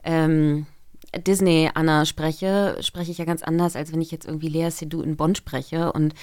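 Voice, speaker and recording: A woman's voice: low-pitched, level tone, normal speed, darkish tone. A woman voice actor, a podcast guest, talking casually about her work and thinking aloud with many fillers. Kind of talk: casual interview chat.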